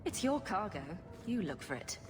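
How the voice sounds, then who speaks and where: A woman playing a sarcastic, quick-witted rogue adventurer with a flippant manner in a video game. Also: mid-range voice, very animated, over music, close to an everyday voice. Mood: wry. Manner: wry, flippant. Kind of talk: video game dialogue acting.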